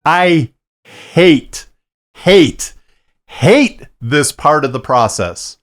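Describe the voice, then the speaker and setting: A man narrating a tutorial in a measured, plain-spoken, instructional way, explaining his production process step by step. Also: fairly high pitch, lively intonation. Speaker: fiction podcast producer and narrator. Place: acoustically treated recording space.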